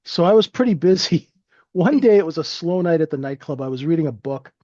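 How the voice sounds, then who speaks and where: Man, 50s, grew up in Canada; friendly, filler-heavy storyteller; slightly high voice on a dull, dry recording. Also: lively intonation, fairly quick, darkish tone, no room sound. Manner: rambling, friendly, lots of fillers. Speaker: guest trader. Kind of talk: casual interview storytelling.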